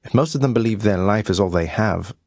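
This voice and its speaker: A young rebel prince of the underworld played by a man speaks in a deep British voice. He is courteous but wry, delivering his game dialogue with casual understatement. The sound is dry, with no room around it. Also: fairly quick, darkish tone, dry recording, close to an everyday voice. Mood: curious, earnest. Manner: dry, understated, conversational politeness. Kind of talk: video game character dialogue.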